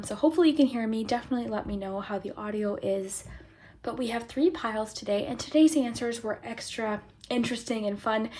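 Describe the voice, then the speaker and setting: A young woman with a slightly hoarse, sore throat giving a chatty tarot reading to her viewers, friendly and soft-spoken, sometimes dropping to a whisper. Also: on the high side. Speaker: tarot reader and channel host. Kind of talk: chatty pick-a-card tarot reading to camera.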